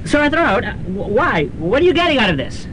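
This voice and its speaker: Man acting a stammering, high-voiced nervous professor. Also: big pitch swings. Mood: bewildered. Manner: halting, stammering. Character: nervous, stammering, dithering male film critic professor. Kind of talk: student radio drama acting. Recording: noisy rumbling student radio recording.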